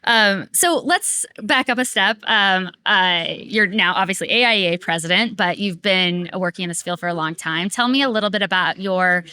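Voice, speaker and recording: A woman's voice: mid-range voice, moderate pace, few pauses, bright, forceful tone. A woman interviewing a guest in an upbeat, chatty way with many fillers. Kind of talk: conversational interview.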